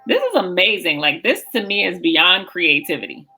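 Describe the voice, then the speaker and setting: A woman's voice: fairly low pitch, sing-song, moderate pace, gravelly, over loud music. A woman hosting a podcast in casual interview chat, with an encouraging, enthusiastic manner.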